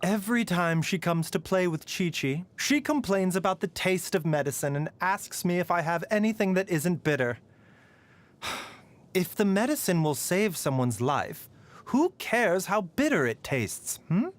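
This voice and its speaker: An actor playing a polite, gently wry and weary pharmacist in a video game, with measured, mildly teasing phrasing. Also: male voice, sits high, animated. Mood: measured, courteous. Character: soft-spoken, courteous male pharmacist, gently wry and weary. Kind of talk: video game character voicelines. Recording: voice over background music.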